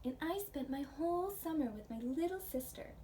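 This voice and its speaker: A woman's voice: high voice, expressive, normal speed, mellow. A woman reading the part of an earnest young schoolgirl who shares with her class in a children's storybook. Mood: earnest, proud. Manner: earnest, gaining confidence. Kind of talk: children's storybook read-aloud. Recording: distant mic with faint background noise.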